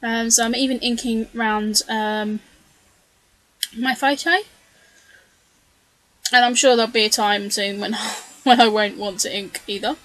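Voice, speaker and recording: A woman's voice: a little high, fairly even intonation, measured pace, long pauses, fairly bright tone, poor audio. A woman narrating her scrapbooking process in a chatty, rambling way, full of ums and asides, her voice a little strained. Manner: chatty, rambling, many ums and self-corrections. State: slightly losing her voice. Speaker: scrapbooking video creator. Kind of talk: casual voice-over narration of a craft process.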